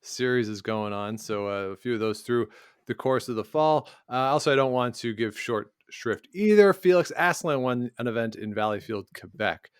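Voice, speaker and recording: A man's voice: medium pitch, expressive, normal speed, clear, resonant tone, no room sound, clean studio sound. A man co-hosting a sports podcast, chatting casually and rambling, often correcting himself mid-sentence. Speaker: podcast co-host.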